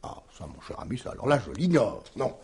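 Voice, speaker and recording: A man's voice: medium pitch, huge pitch swings, darkish tone, husky, full character voice. An actor playing a wily, smug police commissioner in a radio mystery, needling his suspect in a theatrical, insinuating manner. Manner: theatrical, insinuating, drawn-out phrasing with rhetorical tags. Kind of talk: 1950s French radio drama acting.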